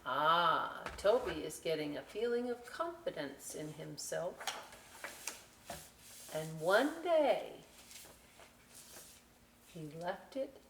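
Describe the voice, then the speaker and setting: A woman presenting a children's story time, reading aloud with chatty, animated, folksy asides straight to young viewers. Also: sits low, slow pace, long pauses. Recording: roomy, distant mic with faint hiss.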